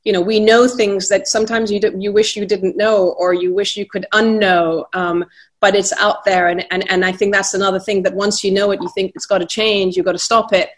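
A woman's voice: mid-range voice, level tone, brisk. A woman moderating a panel discussion, talking with many hesitant restarts.

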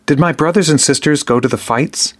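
Man doing a teen boy, soft and calm, watchful, pitched up, storybook. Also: moderate pace, close to an everyday voice. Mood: pleading. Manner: soft, quiet and steady. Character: watchful thirteen-year-old boy, calm and calculating.

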